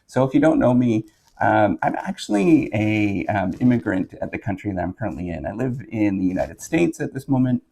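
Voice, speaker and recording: Low, creaky Canadian man explaining casually. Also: big pitch swings, dark tone. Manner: halting, rambling, self-correcting explanations with frequent checking "right?". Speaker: professor and video host.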